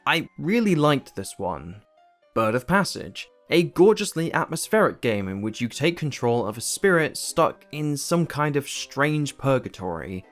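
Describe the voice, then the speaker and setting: Earnest male video essayist over music. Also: medium pitch. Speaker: video essayist, channel host. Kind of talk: scripted video essay narration.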